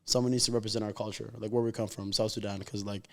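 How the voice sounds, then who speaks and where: A man's voice: on the low side, level tone, quick pace. A man, chatting casually and earnestly in an interview, with informal slang and lots of "like". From raised in Calgary, Canada. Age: 20s. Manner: informal, slangy, heartfelt, heavy use of "like". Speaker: professional footballer, interview guest.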